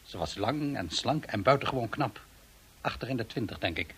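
Composed, husky-voiced doctor. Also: male voice, mid-range voice, heavily acted. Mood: grave, factual. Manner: calm, deliberate, professional. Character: composed, matter-of-fact male London doctor. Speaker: actor in a radio play. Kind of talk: Dutch radio drama acting.

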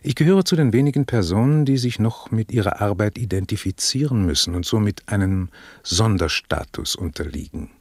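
An actor playing a pompous, self-satisfied drug tycoon being interviewed in a satirical radio play, speaking in a stilted, haughty, formal way. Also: male voice, on the low side, animated, fairly quick, a little mellow, breathy edge, dry recording, clean studio sound.

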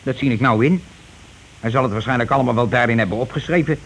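An actor playing a bossy, indignant, petty working-class husband in a Dutch radio play, with a blustering, colloquial way of talking. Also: male voice, mid-range voice, fast, vocal fry, fairly bright tone. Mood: aggrieved. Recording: noisy old radio play recording.

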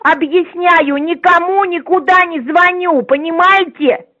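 A woman's voice: very high voice, measured pace, bright, forceful tone. A woman in her sixties on a phone call, scolding and insistent, repeating herself. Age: 60s. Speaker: prank call target. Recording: muffled phone line.

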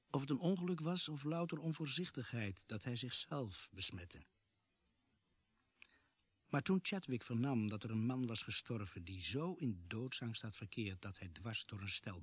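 Sceptical investigator-narrator for radio drama: measured, matter-of-fact, husky, very dry close sound. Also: male voice, medium pitch, no room sound.

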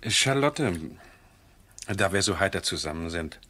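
An actor playing an eager, persuasive aristocratic husband in a radio drama, speaking in an earnest, coaxing, gentlemanly way. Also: male voice, sits low, lively intonation, unhurried, exaggerated voice. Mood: hesitant, coaxing. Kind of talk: 1970s German radio drama acting.

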